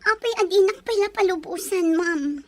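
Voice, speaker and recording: A woman's voice: extremely high pitch, normal speed, darkish tone. A woman playing a frightened, submissive young housemaid pleading in an audio drama.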